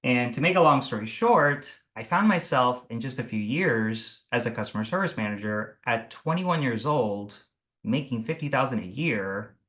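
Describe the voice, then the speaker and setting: A man's voice: medium pitch, lively intonation, normal speed, a bit bright. A man in his thirties from Italy, a real estate agent telling his life story in a casual video call interview, rambling and laughing as he goes. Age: 30s. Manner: rambling, self-correcting, laughs often.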